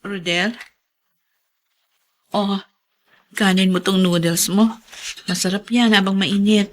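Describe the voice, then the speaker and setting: A woman's voice: mid-range voice, steady tone, takes it slowly, close to an everyday voice. An actress playing a caring, playfully scolding young woman with a Filipino accent in a radio drama. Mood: teasing, caring.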